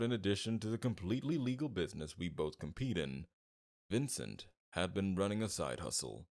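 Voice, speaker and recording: A very deep-voiced, smug mob boss played by a man in an audio roleplay. He talks with heavy fry, suave and teasing, flirting freely while keeping a menacing edge beneath it. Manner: teasing, suave, drawn-out flirtation with veiled threats. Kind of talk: ASMR roleplay, scripted character acting.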